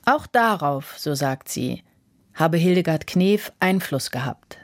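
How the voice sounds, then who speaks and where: A woman narrating a radio feature in a measured, matter-of-fact way. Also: low voice, animated.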